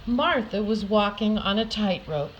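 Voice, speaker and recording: An older woman reading a children's picture book aloud with deliberate, dramatic emphasis for young listeners. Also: mid-range voice, lively intonation, highs cut off, slight background noise. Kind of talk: expressive children's story read-aloud.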